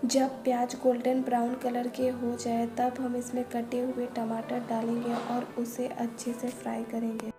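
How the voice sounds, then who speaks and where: Calm woman narrating a home recipe. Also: on the high side, normal speed, few pauses. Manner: calm, methodical, listing each step and ingredient. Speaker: cooking channel host. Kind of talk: step-by-step recipe voice-over. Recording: echoey room voice-over with faint music.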